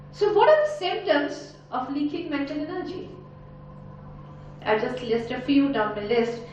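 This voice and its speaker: A woman's voice: high voice, recorded from a distance, slight background noise. Indian-accented woman speaking in a big echoey hall. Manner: emphatic, full of rhetorical questions. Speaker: motivational speaker and life coach. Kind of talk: motivational talk to a live audience. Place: large conference hall with an audience. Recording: very echoey hall, voice picked up from afar.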